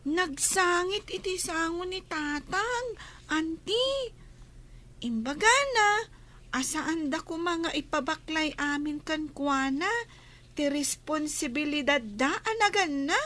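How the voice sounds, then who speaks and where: Woman acting as very high-voiced worried little sister. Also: animated, slow pace, bright, forceful tone, exaggerated voice. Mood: tearful, upset. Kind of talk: radio drama acting.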